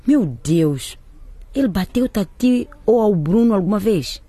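A woman playing a worried, protective mother in a radio drama, tender and gently probing. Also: medium pitch, expressive, a little slow, breathy edge, dry recording. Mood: alarmed.

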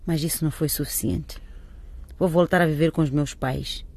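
An actress playing a wounded but resolute wife in a radio drama, firm and reproachful. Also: female voice, low voice, steady tone, normal speed, darkish tone, breathy edge. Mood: firm, bitter.

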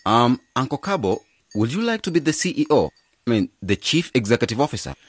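An actor playing an earnest man who leads a village cooperative in a radio drama, deliberate and consultative. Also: medium pitch, very animated, natural voice. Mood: persuasive. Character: earnest male agronomist leading a village cooperative.

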